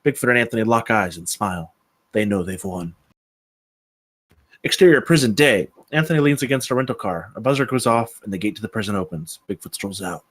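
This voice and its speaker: A man's voice: lowish voice, expressive, fairly quick, no echo. A man reading out stage directions as narrator at a screenplay table read.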